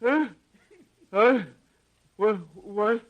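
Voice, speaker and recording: A man's voice: sits high, very slow, narrow, tinny audio. An actor playing a nervous, long-suffering salesman husband in 1950s radio comedy, stammering and fretful. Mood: groggy, shaken. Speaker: comic actor.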